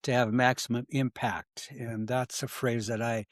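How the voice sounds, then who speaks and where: A man's voice: mid-range voice, flat delivery, unhurried, no echo, clean studio sound. A middle-aged man hosting an interview podcast, speaking in an earnest, encouraging way. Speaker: podcast host.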